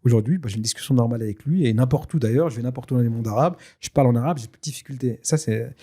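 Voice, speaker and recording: A man whose first language is Tashelhit, telling a personal story in a casual, lively way with many fillers and restarts. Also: mid-range voice, rapid-fire, slightly rough. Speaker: guest telling his story. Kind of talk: casual spoken testimony.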